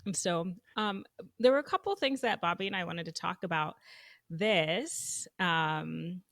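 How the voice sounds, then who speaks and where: A young adult woman from Zambia co-hosting a casual podcast over a video call, expressive and playful, with plenty of fillers. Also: on the low side, unhurried, gravelly, dry recording, clean studio sound. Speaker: podcast co-host.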